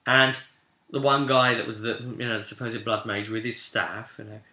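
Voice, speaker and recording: A man acting as game master, recounting a tabletop fantasy game session informally like an animated storyteller speaking straight to his listeners. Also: medium pitch, moderate pace. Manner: animated storyteller, voicing characters' lines and addressing listeners directly. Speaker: game master and host of a tabletop roleplay recap series. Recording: echoey, roomy sound.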